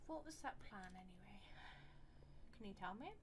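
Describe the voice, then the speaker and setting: A woman's voice: mid-range voice, animated, moderate pace, a crowd in the background. A woman giving casual, jokey, slangy reactions as she streams a game. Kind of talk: casual reactive gaming commentary.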